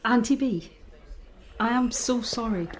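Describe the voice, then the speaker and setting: A woman's voice: a little high, a little slow, close to an everyday voice, rough recording. A woman playing an anxious, caring young niece in an audio drama, earnest and tender in delivery. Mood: remorseful. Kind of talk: naturalistic audio drama acting.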